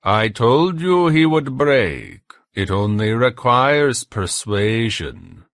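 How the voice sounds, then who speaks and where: A man voicing a cruel, educated German interrogator from a novel, with a German accent and a slow, coldly commanding manner. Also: mid-range voice, expressive, a bit bright, dry recording, clean studio sound, voice pushed up. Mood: smug.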